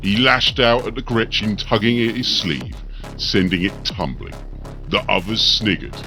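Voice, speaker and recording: A male audiobook narrator reads a story dramatically over music. His delivery is slow and deliberate, with a forceful, bright edge. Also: fairly low pitch, animated.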